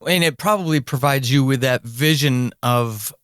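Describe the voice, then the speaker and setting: A man's voice: medium pitch, moderate pace, fairly bright tone, smooth, no echo, studio quality. A man hosting a conversational interview podcast, thinking aloud and rephrasing as he goes. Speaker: podcast host.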